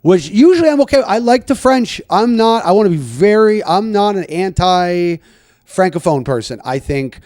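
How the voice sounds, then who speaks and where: A man's voice: high-pitched, lively intonation, moderate pace, darkish tone, dry recording, clean studio sound. A man hosting a casual comedy chat, riffing and swearing, rambling with restarts. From Canada. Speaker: podcast host.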